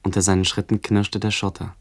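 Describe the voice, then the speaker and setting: A man playing a narrator who relives his rural boyhood at the war's end, in a deliberate, descriptive radio play monologue. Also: fairly low pitch, level tone. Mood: grave, remembering.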